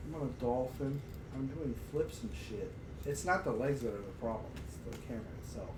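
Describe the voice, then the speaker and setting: A man's voice: medium pitch, animated, a little fast. A man co-hosting a streamed podcast, chatting casually in a playful, slangy way. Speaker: podcast co-host. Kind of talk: casual chat on a live stream. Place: echoey room, podcast recording on stream. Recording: very noisy, echoey, distant from mic.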